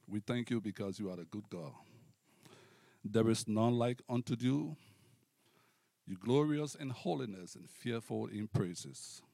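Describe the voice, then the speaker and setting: A middle-aged man with a Liberian accent, preaching and giving testimony to a congregation in deliberate, devout, formal phrasing. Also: fairly low pitch, vocal fry, a little mellow, no room sound, studio quality. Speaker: guest preacher. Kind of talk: personal testimony and sermon to a congregation.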